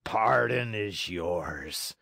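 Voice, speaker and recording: Un homme qui joue un montreur de marionnettes bourru, voix rauque. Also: male voice, lowish voice, lively intonation, drawn-out words, pushed and bright, no room sound, studio quality, heavily acted. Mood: softened, magnanimous. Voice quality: hoarse. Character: huge gruff bearded puppet master, blustering but soft-hearted.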